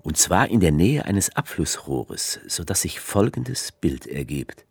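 An actor playing a sardonic author figure who narrates and guides the dictator in a radio play. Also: male voice, low voice, very animated, measured pace, creaky, darkish tone, slightly rough, dry recording, exaggerated voice. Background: music.

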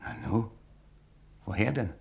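An actor playing a wary, needling man in a radio play, who speaks deliberately and probes with questions. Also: lowish voice, expressive, vocal fry. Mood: matter-of-fact. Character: wary, probing man reluctantly selling a revolver.

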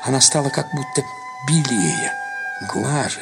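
A man narrating a literary story as an actor would, in an expressive scripted reading. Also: mid-range voice, unhurried, vocal fry. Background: music.

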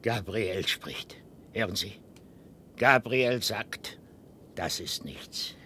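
An actor playing a confused, childlike and paranoid old father in a radio play, halting and repetitive. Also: expressive, bright, forceful tone, exaggerated voice. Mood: hushed, reverent. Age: older. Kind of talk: German radio play acting. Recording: rumbly, noisy archive radio recording.

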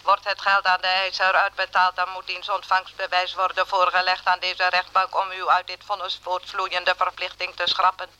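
An official court machine in a radio drama. The voice is high and piercingly bright, utterly monotone, and reads legal notices with rigid, impersonal formality. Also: male voice, normal speed, few pauses, clear, resonant tone, rough recording.

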